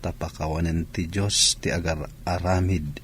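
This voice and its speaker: A man's voice: deep voice, steady tone, normal speed, a bit bright, slight background noise. A man playing a troubled, wronged man in a radio drama, speaking solemnly and earnestly. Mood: hurt, solemn.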